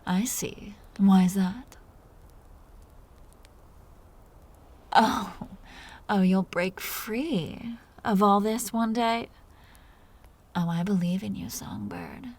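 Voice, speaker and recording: Slow, creaky-voiced seductive vampire woman, acted by a woman. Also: mid-range voice. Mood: mocking. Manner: slow, teasing, breathy half-whispers with soft chuckles. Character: teasing, seductive, dominant female vampire captor. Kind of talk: intimate roleplay audio drama.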